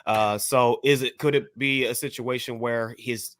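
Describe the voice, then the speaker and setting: A man's voice: medium pitch, steady tone, a little slow, a bit bright. A man on a podcast panel in casual discussion, insistently spelling out his points.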